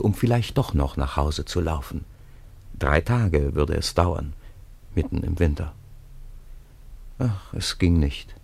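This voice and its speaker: Deep voiced guy narrating a story for a radio play, scripted reading. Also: mellow.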